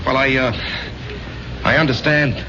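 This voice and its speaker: A man's voice: medium pitch, fairly even intonation, unhurried, natural voice. An actor playing an impulsive, breezy man in a 1970s radio drama. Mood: evasive, casual. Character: impulsive man bent on lavish fun with his sweetheart. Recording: muffled, noisy 1970s radio broadcast.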